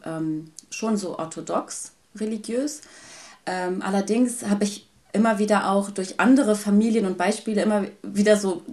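A woman from Germany, a guest in an interview, talking about her life in a thoughtful, hesitant way with many pauses. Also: medium pitch, a little mellow. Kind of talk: personal conversation.

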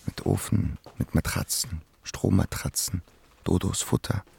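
A shy young loner in a radio play. His voice is very low and heavily creaky, and he murmurs haltingly, circling back over his own phrases. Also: fairly even intonation, noisy background, full character voice. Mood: dreamy, murmuring. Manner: halting, murmuring, repeating himself. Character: Karl, shy solitary young man seeking shelter to write. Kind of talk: radio play acting with murmured inner monologue.

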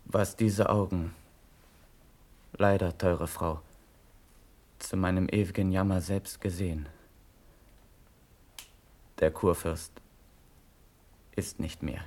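An actor playing a grave, impassioned cavalry officer, declaiming measured verse in a classical German radio drama. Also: male voice, low-pitched, fairly even intonation, a little mellow. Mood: sorrowful.

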